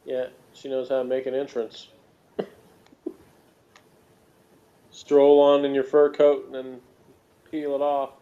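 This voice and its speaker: A man's voice: medium pitch, monotone, takes it slowly, pauses often, warm tone, clean tone. Slightly muffled man chatting wryly. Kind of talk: casual chat. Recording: slightly dull, lo-fi sound.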